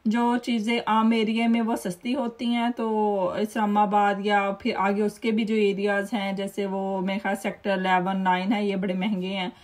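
A woman's voice: medium pitch, little pitch movement, normal speed, few pauses, darkish tone. A woman, chatting and telling stories over her home video. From Pakistan. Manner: chatty, storytelling, laughs often. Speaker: vlogger.

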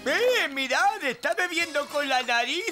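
Cartoon dimwit troll: very high, slow, childish and giggly, over loud music. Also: male voice, expressive, full character voice. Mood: silly glee. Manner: slow, simple-minded, giggling. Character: dim-witted, giggly, childish, mischievous troll. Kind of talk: cartoon dub acting.

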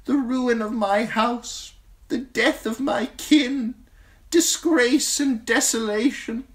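Woman acting ancient blind old man, weary, gentle, slow grave delivery, high thin voice.

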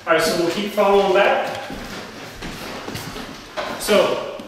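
A man's voice: sits high, fairly even intonation, takes it slowly. A man in his forties, a realtor walking through empty rooms and talking casually and a bit hesitantly as he shows the house. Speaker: realtor giving a house tour. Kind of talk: casual walk-through tour talk. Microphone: camera mic at a few metres. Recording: echoey bare rooms, distant mic, noisy.

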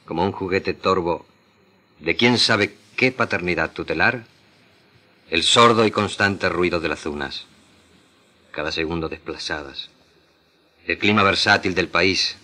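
A man with a very low, forceful voice recites literary prose like a trained radio actor. His delivery is solemn and measured, broken by deliberate pauses. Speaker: radio drama actor reading literary excerpts.

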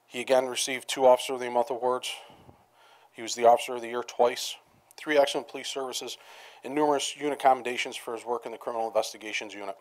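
Brisk man reading a formal commendation. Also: mid-range voice. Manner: brisk, reads with small restarts. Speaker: police official reading a commendation. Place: town hall meeting room at a podium. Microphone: podium microphone.

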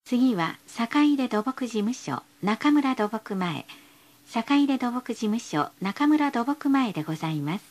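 A woman's voice: fairly high pitch, animated, unhurried, a little mellow. A woman reading scripted bus stop announcements in a polite, formal, carefully enunciated way.

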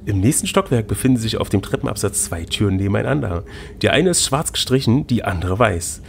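A man's voice: on the low side, sing-song, normal speed, creaky, pauses often, a little hiss or hum. A man co-hosting a podcast, reading a gamebook aloud with playful, teasing banter. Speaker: podcast co-host and reader.

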